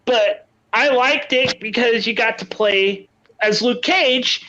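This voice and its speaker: A casual podcast co-host recorded at home on a personal mic. The voice sounds bright and forward, but the recording is dull and lo-fi. They ramble a little, with frequent ums and restarts. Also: mid-range voice, slow. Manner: hesitant, many ums and restarts. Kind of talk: casual chat. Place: home, with children in another room. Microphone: own home mic.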